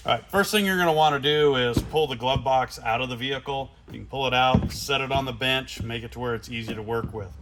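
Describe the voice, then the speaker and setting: A man with a mid-pitched voice walks through a hands-on installation in a casual, plain-spoken way. His wording is informal and clipped, like a workshop demonstrator. The recording is from a garage, with some noise behind him. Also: fairly quick, hardly any pauses. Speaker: product installation presenter for a radio company.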